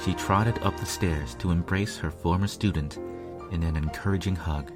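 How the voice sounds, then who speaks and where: A man narrates fiction in a very low voice. His delivery is earnest, expressive and measured. Music is clearly heard behind him throughout. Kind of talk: dramatic first-person story narration.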